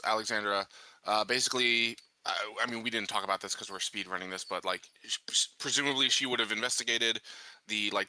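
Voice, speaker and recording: A man playing a tabletop game, improvising casually and haltingly as he searches for words. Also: lowish voice, bright, forceful tone, no room sound.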